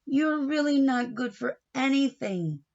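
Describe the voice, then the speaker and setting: A woman's voice: sits high, expressive, measured pace, creaky, a little mellow, muffled recording, pitched above the performer's own voice, heavily acted. A woman voicing a fussy, indecisive storybook uncle who argues with himself in dramatic exclamations. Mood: fretful, dramatic.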